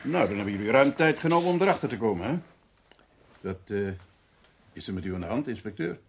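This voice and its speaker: An actor playing an informal, blunt police sergeant on night duty in a radio drama. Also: male voice, on the low side, expressive, fairly quick, slight background noise. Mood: teasing, curious.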